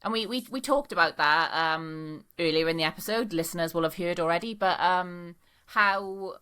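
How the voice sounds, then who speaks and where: A woman's voice: fairly low pitch, normal speed, fairly bright tone, clean tone. A woman from South Wales with a South Welsh accent, hosting a casual podcast chat in a chatty, self-interrupting way and laughing easily. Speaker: podcast host.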